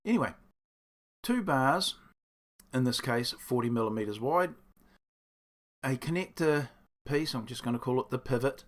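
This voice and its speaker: Croaky man explaining in his workshop. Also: mid-range voice, expressive, moderate pace, pauses often. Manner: rambling, self-correcting, explains step by step. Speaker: woodworking channel host. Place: home workshop.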